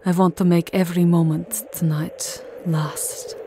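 A woman playing a tender, lovesick vampire countess in an intimate ASMR roleplay, speaking softly and often dropping into a whisper, with formal, wistful endearments. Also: lowish voice, little pitch movement, unhurried, noisy background. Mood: tender, solemn. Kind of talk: ASMR roleplay, soft intimate romantic acting. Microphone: close microphone, dry. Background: music.

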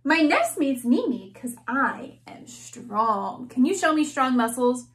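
A woman reading a picture book aloud, voicing a small male ant who pleads not to be squished, slow and sing-song. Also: high-pitched, big pitch swings, fairly bright tone, heavily acted. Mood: earnest.

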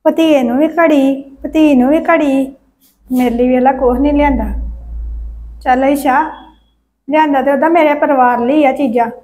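A woman's voice: high voice, a little mellow. A woman playing a resentful placating young wife. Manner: politely placating aloud, muttering grudges aside. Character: resentful young wife, sweet to faces, bitter behind backs. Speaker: wife of the brother. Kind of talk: village family drama acting.

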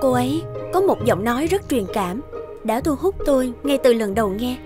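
An actress playing a composed young professional woman in a cartoon, calm and articulate. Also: on the high side, moderate pace, over music, close to an everyday voice. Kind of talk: Vietnamese cartoon dubbing.

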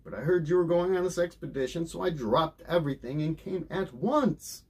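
A man's voice: a little high, expressive, normal speed, a little mellow, slightly rough, voice pushed up. A man voicing a loyal, dutiful expedition helper in a storybook, addressing his leader with military formality. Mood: earnest.

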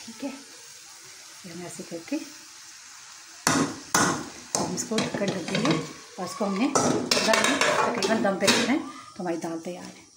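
A woman's voice: medium pitch, takes it slowly, long pauses. A middle-aged woman giving a casual home cooking tutorial, explaining each step with chatty asides. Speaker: cooking vlogger. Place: home kitchen at the stove. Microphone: phone camera held over the pot. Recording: echoey kitchen with cooking noise. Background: frying and sizzling.

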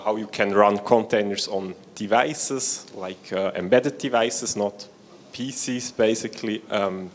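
A man from Switzerland speaks at a conference in a large hall, holding a microphone. His voice sits low and his delivery is plain and a bit hesitant. Faint ambient noise sits behind him. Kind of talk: conference talk. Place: large hall with seated audience. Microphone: handheld mic.

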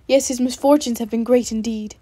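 Casting: woman reading as a stiff aristocratic young man, cold and clipped, low-key, mellow and flat. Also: brisk, no room sound, close to an everyday voice. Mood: cold restraint. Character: proud wealthy young gentleman, cold and stiff.

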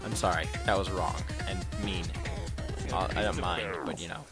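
A young man giving a casual let's-play commentary, crude and rambling, with self-mocking jokes and sudden loud outbursts. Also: deep voice, steady tone, moderate pace. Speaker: gaming commentator. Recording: game music loud underneath. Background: electronic dubstep game music.

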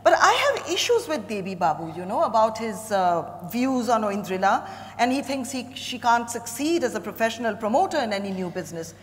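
A woman's voice: on the high side, sing-song, fairly quick. Woman playing poised Indian mother, echoey hall. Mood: measured, critical. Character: poised businesswoman mother, courteous but disapproving. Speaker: actress in a staged reading. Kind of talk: dramatized stage reading. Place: on stage in a large hotel ballroom. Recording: echoey ballroom stage, noisy background.

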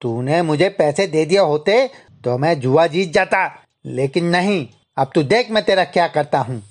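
Man acting an angry village gambler, higher-pitched voice. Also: expressive, measured pace. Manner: accusing, aggressive. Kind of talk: Hindi animated story acting.

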